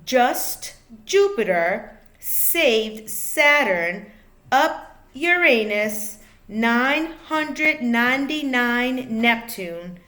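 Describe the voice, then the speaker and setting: A woman reading a children's book aloud like a teacher, in a deliberate, expressive way, phrase by phrase for young listeners. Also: a little high, echoey room. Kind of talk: children's storybook read-aloud.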